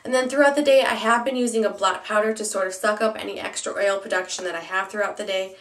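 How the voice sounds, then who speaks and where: A woman's voice: mid-range voice, fairly even intonation, fast talker, fairly bright tone, echoey room, distant mic, a little hiss or hum. A young woman with a stuffy, nasal voice from a cold, chatting casually through a product review with plenty of ums and restarts. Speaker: beauty vlogger.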